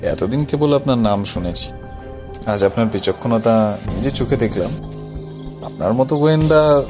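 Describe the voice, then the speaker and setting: An actor playing a dutiful, deferential police officer reporting respectfully in an audio drama. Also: male voice, mid-range voice, lively intonation, moderate pace, close to an everyday voice. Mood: admiring. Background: music.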